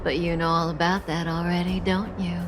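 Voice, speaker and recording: An actress playing a calm, cunning, teasing female villain in a video game cutscene, with playful confidence. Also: lowish voice, fairly quick, background noise, lightly acted. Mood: teasing, nonchalant.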